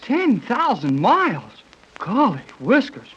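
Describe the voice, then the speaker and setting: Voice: medium pitch, huge pitch swings, slow pace. A performer playing an eager, wide-eyed youngster who gushes in folksy slang in a 1930s radio serial. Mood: eager, amazed. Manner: gushing, slangy exclamations. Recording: dull, noisy 1930s radio recording.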